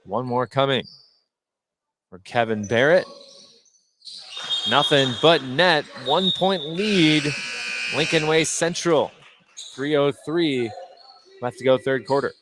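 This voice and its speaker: A man's voice: medium pitch, slow, pauses often, a bit bright. An American man giving live play-by-play commentary on a basketball game as the announcer. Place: courtside in an indoor gym. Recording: noisy gym with ball bounces. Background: gym noise, bouncing basketball.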